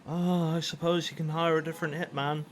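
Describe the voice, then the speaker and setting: A man's voice: fairly high pitch, level tone, a little fast, slight background noise, natural voice. A man playing a cocky, callous hitman for hire in an audio drama, with a sarcastic, taunting manner. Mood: mocking.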